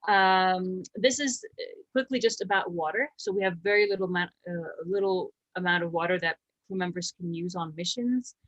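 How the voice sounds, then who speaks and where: A woman, presenting slides about her research in a brisk, explanatory way with a light joke here and there. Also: fairly low pitch, fairly even intonation, a bit bright, dry recording, low-quality recording. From Slovakia. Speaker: astrobiologist and habitat director.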